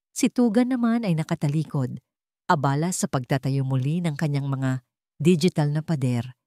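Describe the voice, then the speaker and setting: A woman's voice: low-pitched, darkish tone, no echo, clean studio sound. A woman reading a scripted dramatic story as its narrator.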